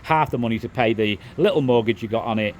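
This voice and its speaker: Softened Scouse man rambling to camera. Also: mid-range voice, quick pace, muffled recording, slight background noise. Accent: Liverpool, softened. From England. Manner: rambling, frequent restarts and "it is what it is". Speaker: vlogger, property developer. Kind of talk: casual monologue to camera.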